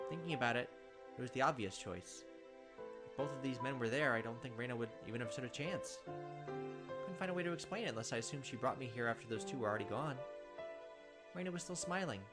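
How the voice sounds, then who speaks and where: A man hosting a let's play, reading the game text aloud in a dramatic way and breaking in with joking asides. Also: medium pitch. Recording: game music playing loudly behind.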